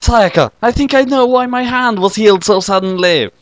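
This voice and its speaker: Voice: medium pitch, normal speed, dry recording, voice pushed up, close to an everyday voice. A narrator voicing a naive, oblivious teenage boy who rambles earnestly in a parody story.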